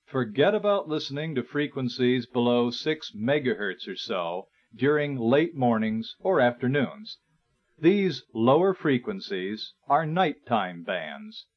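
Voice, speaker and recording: Slow, expressive male instructional narrator. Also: medium pitch. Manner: measured, explanatory. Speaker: narrator and presenter. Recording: dull, lo-fi 1980s recording.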